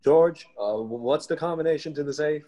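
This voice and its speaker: Casting: young man as muddled lovable uncle, slightly high voice, hesitant muttering, radio play. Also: a little fast, warm tone, close to an everyday voice. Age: young adult. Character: forgetful, bumbling, lovable uncle.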